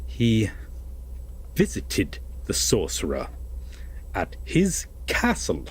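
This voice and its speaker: A man playing an obsessive, possessive lover in an intimate roleplay audio drama, speaking deliberately and coaxingly with dramatic pauses and a husky voice. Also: on the low side, huge pitch swings, exaggerated voice, poor audio. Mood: ominous storytelling. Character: possessive, obsessive lover telling a veiled fairytale.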